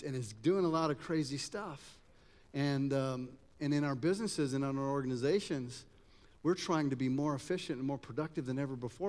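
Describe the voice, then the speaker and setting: A man's voice: medium pitch, darkish tone. A middle-aged man from Germany with an American-tinged accent, giving an informal motivational keynote in a conversational way. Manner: conversational, addresses audience with rhetorical questions. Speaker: conference speaker. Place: event hall at a conference campus. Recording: echoey hall, long reverb.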